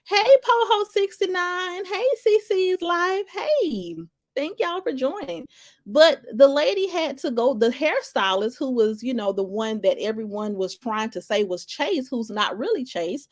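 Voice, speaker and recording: A woman talks to her live viewers with a slightly high voice. She rambles emphatically and restarts her sentences often. The sound is dry and close, with no room echo. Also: animated, measured pace. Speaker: livestream commentator. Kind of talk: casual live commentary, greeting viewers in chat.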